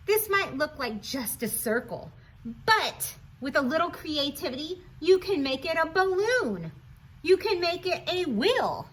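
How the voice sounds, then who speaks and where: A woman's voice: high-pitched, rough recording. A woman reading a picture book aloud to young children, chatting to them directly with questions and encouraging asides. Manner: animated, questioning, encouraging, addresses listeners directly. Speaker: children's read-aloud presenter.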